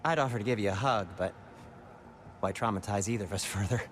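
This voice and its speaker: A man's voice: on the low side, fast talker, soft background music, close to an everyday voice. An actor playing an anxious, fussy adult man in a video game, hedging nervously with dry sarcasm. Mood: awkward, dry. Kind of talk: video game cutscene acting.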